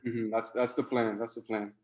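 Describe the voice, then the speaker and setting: A man's voice: medium pitch, little pitch movement, moderate pace, a little mellow, roomy sound. A man, a teacher, answering questions about his project on a video call, thoughtful and peppering his talk with "right" and "you know".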